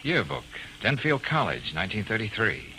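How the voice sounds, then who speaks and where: An actor playing a breezy but firm private detective in a vintage radio drama. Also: male voice, lowish voice, big pitch swings, moderate pace, pushed and bright, a little hiss or hum, rough recording. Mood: coaxing. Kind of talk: 1940s-50s radio acting. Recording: old radio recording with music.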